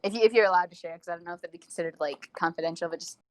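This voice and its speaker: A woman talks very quickly in a dry, close recording with no room sound. She has a casual podcast interviewer's style, teasing and joking as she goes. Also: fairly low pitch, no room sound, rough recording.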